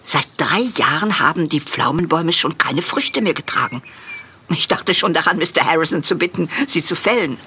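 Dry, wistful old farm woman, lively inflection. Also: low voice, moderate pace, a little hiss or hum, exaggerated voice. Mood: matter-of-fact. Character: Marilla, prim elderly farm woman, dry, sentimental underneath. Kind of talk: German audio drama acting.

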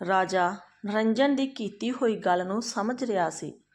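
A woman's voice: medium pitch, measured pace. A woman, narrating a moral folk tale as a storyteller.

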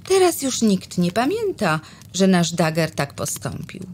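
A woman reads a rhyming Christmas tale for children, expressive and dropping now and then to a hush. Her voice moves a lot in pitch while the pace stays slow. A faint ambience hangs behind her. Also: slight background noise. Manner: expressive, sometimes dropping to a whisper. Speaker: narrator. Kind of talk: rhyming storybook narration for children.

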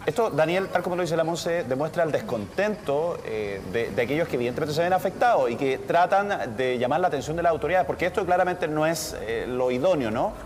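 A man giving measured, formal commentary as a studio news anchor. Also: a little high, animated, long reverb tail, slight background noise.

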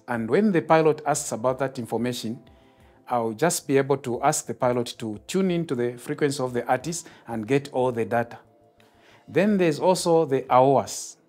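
A middle-aged Zambian man speaks at a medium pitch with soft music underneath. His delivery is formal and methodical, that of a controller explaining procedures in an interview. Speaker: air traffic controller, tower. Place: glass-walled control tower room, indoors. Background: background music.

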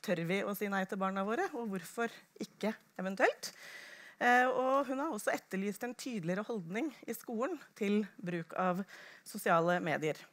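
A woman's voice: mid-range voice, lively intonation. A middle-aged woman, hosting a conference and introducing speakers to an audience. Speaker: conference moderator. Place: small stage in a conference hall with audience.

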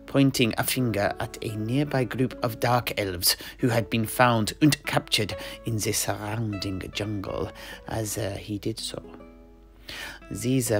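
Male mercenary knight raconteur played by an actor, fantasy audio play, hesitant conversational delivery, faint music bed. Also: lowish voice. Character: male mercenary knight recounting his grandfather's voyage in a tavern. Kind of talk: in-universe storytelling audio play. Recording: music behind the voice.

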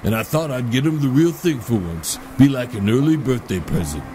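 Swaggering older tough-guy uncle, acted by a man, grumbling, brash, medium pitch with big swings, comedy audio drama. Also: animated, a little fast, slight background noise, close to an everyday voice. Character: cocky, grouchy older street-tough beer-loving badass uncle. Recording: loud music behind.

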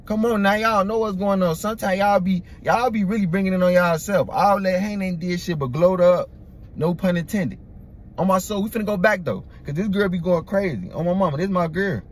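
A young man hosting a music reaction video from a parked car, talking excitedly in profane slang into a phone held at arm's length. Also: high voice, a little fast. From Iraq. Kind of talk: casual reaction commentary. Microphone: phone camera at arm's length.

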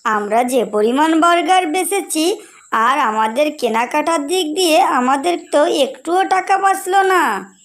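A woman performs a bossy little female bird from a children's cartoon. Her voice is very high and chirpy, with emphatic, exclaiming phrases. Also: lively intonation, measured pace. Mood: concerned. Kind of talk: animated cartoon voice acting.

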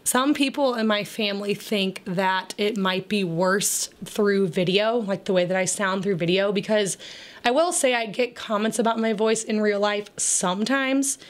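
Raspy-voiced woman chatting casually. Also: medium pitch, normal speed. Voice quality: raspy, crackly voice. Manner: chatty, rambling, with frequent fillers and laughs. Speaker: homemaking vlogger. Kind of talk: casual voice-over chat.

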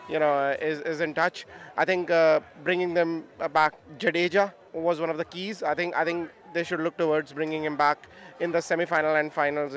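A man's voice: a little high, fairly bright tone. A middle-aged man giving his opinions as a sports fan, hesitating and repeating words, outdoors on a handheld microphone. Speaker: sports fan being interviewed. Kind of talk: casual post-match opinion. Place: outdoors outside a stadium. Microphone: interviewer's handheld microphone.

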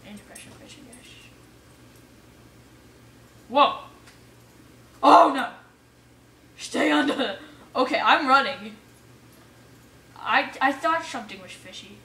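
A woman giving casual let's play commentary, excitable, exclaiming and muttering to herself as she plays. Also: high voice, takes it slowly, creaky, pauses often. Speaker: gamer playing an adventure map for viewers. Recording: roomy echo, distant mic, faint noise.

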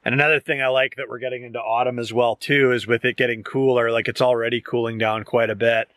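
Male vlogger, thirties, medium pitch, informal rambling chat, dry open-air sound. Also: little pitch movement, fast talker, fairly bright tone, no echo. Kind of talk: casual outdoor vlog chat. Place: outdoors beside and inside a parked truck. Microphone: handheld camera at arm's length.

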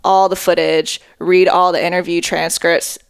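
Young woman on a headset mic, chill and kinda dry-humored, lots of likes, smooth clean voice. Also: fairly low pitch, level tone, normal speed, dry recording. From born in Japan, raised in Connecticut, China, Hawaii. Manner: laid-back, many "like" and "um" fillers, dry jokes. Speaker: guest, film editor. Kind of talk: casual podcast interview. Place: small dining room, indoors.

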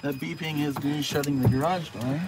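A man's voice: fairly high pitch, fairly even intonation, moderate pace. A man making brief, casual remarks off camera, some way from the phone's microphone. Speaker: companion helping with the tour. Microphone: off-camera, away from handheld phone. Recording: noisy, distant from the phone mic.

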